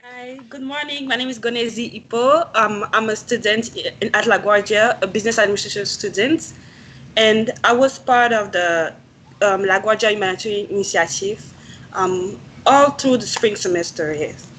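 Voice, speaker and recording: A young woman from Ivory Coast, French-speaking, talking as a student guest in a radio interview. She speaks softly and hesitantly, pausing with ums, and a faint hiss of background noise sits behind her. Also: mid-range voice, rough recording. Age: young adult. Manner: hesitant, many ums, soft-spoken. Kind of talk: casual interview.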